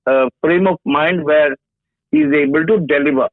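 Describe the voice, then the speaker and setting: A man in his sixties, a guest with a background in HR, explaining slowly and haltingly during a phone interview. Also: on the high side, dry recording. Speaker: HR industry guest. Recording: dry tinny phone line.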